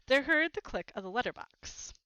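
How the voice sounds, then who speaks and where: A woman's voice: sits high, expressive, moderate pace, sharp tone, clear, resonant tone, dry recording, lo-fi sound. A woman reading a storybook aloud as narrator, expressively.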